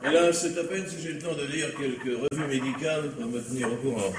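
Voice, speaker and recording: An actor playing a courteous, genial family doctor with a gravelly, husky voice in a 1950s-style French radio mystery. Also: male voice, mid-range voice, fast talker, background noise, natural voice.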